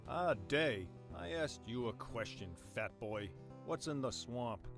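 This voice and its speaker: A man playing a gruff, defiant family man in an audio drama, speaking in a blunt, stern, confrontational way. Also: mid-range voice, lively intonation, takes it slowly, music in the background. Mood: hostile.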